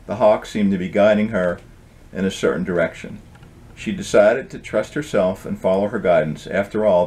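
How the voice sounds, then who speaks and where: A middle-aged man with a low-pitched voice reads from his own book. He is the author, telling it as a storybook and talking casually around it. He speaks indoors at home. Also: darkish tone. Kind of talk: storybook reading with casual introduction.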